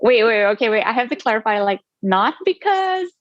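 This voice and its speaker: A woman's voice: on the high side, sing-song, brisk, no echo, lo-fi sound. A young adult woman from Indonesia, an author talking casually in an interview, chatty with many fillers. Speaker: author guest.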